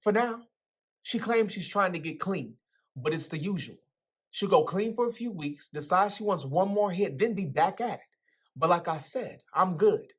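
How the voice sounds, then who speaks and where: A woman as a swaggering, husky teenage guy. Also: lowish voice, expressive, normal speed. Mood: bitter, resigned. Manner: slangy, teasing, swaggering. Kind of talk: dramatic reading of a novel.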